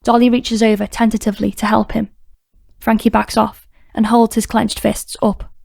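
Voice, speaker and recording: A woman's voice: fairly high pitch, flat delivery, normal speed, vocal fry, darkish tone, no echo, studio quality. A woman reading scripted narration for an audiobook drama.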